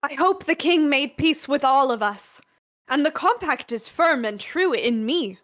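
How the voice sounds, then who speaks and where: Actress as ingratiating scheming prince, high pitch, slow careful verse, mock-pious, radio drama. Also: female voice, expressive, fairly bright tone. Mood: smooth. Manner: deliberate, formal, ingratiating. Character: sly royal duke feigning humble, pious devotion. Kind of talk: Shakespearean radio play acting.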